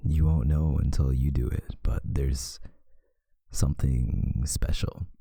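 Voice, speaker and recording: A man voicing a possessive yet tender male vampire lover in an intimate, hushed ASMR roleplay, often slipping into a whisper. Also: fairly even intonation, dry recording, clean studio sound, exaggerated voice. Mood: possessive, proud. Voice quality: very deep, breathy, gravelly, often whispering. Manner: slow, hushed, soothing, seductive.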